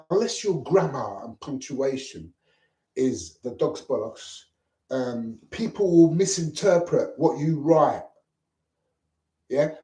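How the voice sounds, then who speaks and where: Agitated middle-aged man, echoey room. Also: fairly high pitch. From Lebanon. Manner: agitated, repetitive, swears. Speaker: vlogger. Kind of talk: rambling personal monologue to camera.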